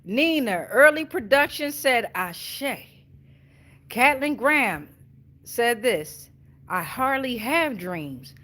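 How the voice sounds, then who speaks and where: High sharp bright woman doing tarot for her followers, super casual, cusses a lot, repeats herself for emphasis. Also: sing-song, slow pace. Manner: blunt, heavy swearing, slangy, repeats phrases for emphasis. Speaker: tarot reader, show host.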